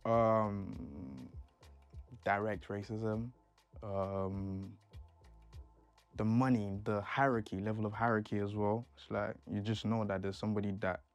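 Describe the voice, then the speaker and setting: A man talking casually and in a laid-back way in a documentary interview, sometimes dropping into quiet asides. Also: lowish voice, unhurried, smooth. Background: faint music.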